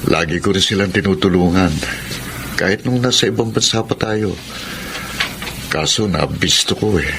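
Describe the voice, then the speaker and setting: Sober, cautioning friend for radio drama; deep voice, earnest concern, clearly noisy backdrop. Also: male voice, normal speed, long pauses. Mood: troubled. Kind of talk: Filipino radio drama acting.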